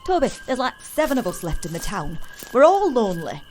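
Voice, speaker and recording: A frank, adventurous British woman in an audio drama. She is a sociable, middle-aged small-town type with a teasing, colloquial way of talking and very animated intonation, over faint noise. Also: on the high side, a little fast. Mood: wistful. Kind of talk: naturalistic audio drama acting.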